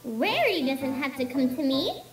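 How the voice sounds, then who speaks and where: A woman voicing an excitable, earnest young female pony in a cartoon fan-fiction reading, bubbly and dramatic. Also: high-pitched, normal speed. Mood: cheerful, musing. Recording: music playing behind the voice.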